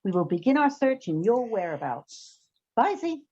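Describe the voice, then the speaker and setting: A woman playing a quick-witted, sarcastic private detective in a comic radio play, in a slightly muffled recording. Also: fairly high pitch, sing-song, warm tone, slightly rough. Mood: reassuring, businesslike. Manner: wry, sarcastic. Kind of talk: comic old-time radio play acting. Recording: slightly dull, muffled sound.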